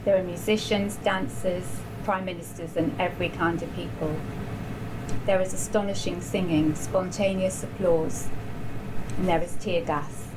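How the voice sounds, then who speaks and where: A woman giving a measured literary reading at a live event in a bar. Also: fairly low pitch, fairly even intonation. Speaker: writer reading own story. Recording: live bar event, clearly noisy background.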